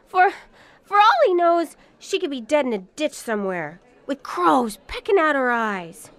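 An actress playing a brash, sharp-tongued nightclub dame in a noir radio drama, scolding with theatrical emphasis. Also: female voice, high voice, sing-song, unhurried, exaggerated voice. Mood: indignant scolding.

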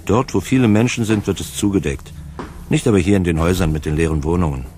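A man playing a lonely, odd outcast in a radio drama monologue, musing haltingly to himself. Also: sits low, warm tone, a little hiss or hum, close to an everyday voice. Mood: brooding, wondering. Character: lonely, odd, brooding male outcast in an empty building.

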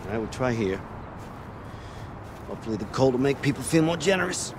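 An actor voicing a practical older homeless man in a video game, speaking in a measured way. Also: mid-range voice, lively intonation, noisy background, lightly acted. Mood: calm.

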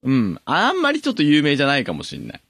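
Very animated, quick-talking male co-host. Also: a little high, slightly rough, no echo, studio quality. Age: around 30. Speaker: podcast co-host. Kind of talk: comedic podcast banter. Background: loud music.

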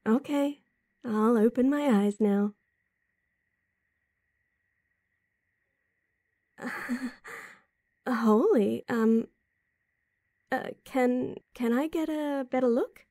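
A woman's voice: on the high side, takes it slowly, pauses often, dark tone, no echo. A woman playing a sweet, supportive, teasing girlfriend in an intimate roleplay audio drama, talking affectionately to the listener. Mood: playful, curious. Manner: affectionate, teasing, breathy chuckles and sighs. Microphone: close mic, dry.

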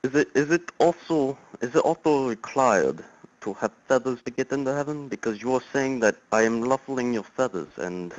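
A man's voice: medium pitch, expressive, normal speed, muffled recording, lightly acted. A man putting on a mock Russian accent to play a formal, easily offended immigrant calling a show. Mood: inquisitive. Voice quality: stiff, overly formal phrasing. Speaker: caller.

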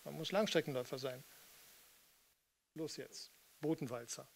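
Older man, slightly raised pitch, stage performer, casual banter, small theatre. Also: moderate pace, gravelly. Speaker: performer, singer and spoken-word artist. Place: small theatre with red seats and curtains. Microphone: studio mic on stand with pop filter.

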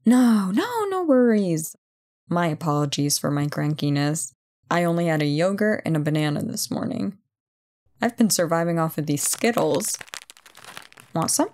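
A woman playing a brisk, playful company boss in an audio roleplay job interview, chatty and full of wry asides. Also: on the low side, expressive, a little mellow, natural voice. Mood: cranky, apologetic. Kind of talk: ASMR roleplay, one-sided conversation.

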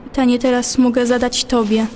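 A young woman giving a measured, deliberate dramatic recitation of poetry in an audio play. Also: a little high, little pitch movement, a little mellow, a little hiss or hum.

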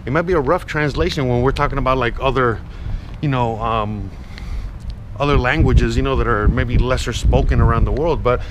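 A man in his thirties from Miami, a native Spanish speaker with an American accent, talking in a casual, halting, rambling way to camera as he walks. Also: mid-range voice. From Miami, United States. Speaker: vlogger. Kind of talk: casual walking vlog to camera. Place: city street outdoors, walking. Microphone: action camera at arm's length. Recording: noisy outdoor street with traffic. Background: traffic and street ambience.

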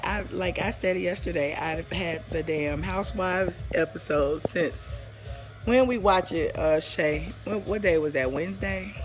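A hoarse woman in her forties, chatting casually on a live stream from her living room, rambling and greeting viewers by name, with a phone held at arm's length. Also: fairly low pitch. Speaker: livestream host. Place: living room at home, indoors.

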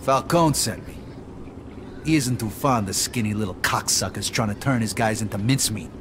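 An actor playing a tough young American mafia soldier in a video game, laconic and blunt. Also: male voice, on the low side, normal speed, natural voice. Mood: hard, defiant. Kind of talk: video game cutscene acting. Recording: clear background noise and ambience.

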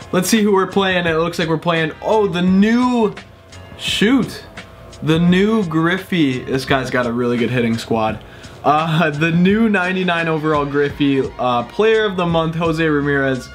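A young man, a little sick, chatting casually with lots of fillers while playing a baseball video game at home. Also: on the high side. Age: young adult. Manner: chatty, rambling, upbeat with frequent fillers. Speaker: gaming YouTuber. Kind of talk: casual gameplay commentary. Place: small room at home, indoors.

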